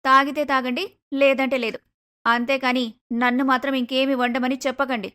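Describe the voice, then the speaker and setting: Woman acting a high-voiced, arrogant young daughter-in-law. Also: level tone, a little fast, sharp tone, clear, resonant tone. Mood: dismissive. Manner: curt, dismissive, argumentative. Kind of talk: animated moral story dialogue.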